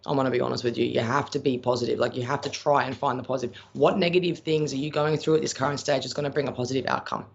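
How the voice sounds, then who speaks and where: A man's voice: medium pitch, steady tone, very fast, vocal fry, few pauses, slightly rough. An Australian man giving psychic readings on a live stream, answering viewer questions in a blunt, chatty way and calling viewers pet names. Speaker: psychic medium. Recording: dull lo-fi stream audio.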